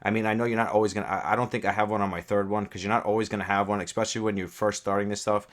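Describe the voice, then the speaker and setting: A man's voice: fairly low pitch, steady tone, very fast. A young man with a stuffed-up, scratchy voice, talking casually through a game guide with earnest, rambling asides and many fillers. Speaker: gaming YouTuber.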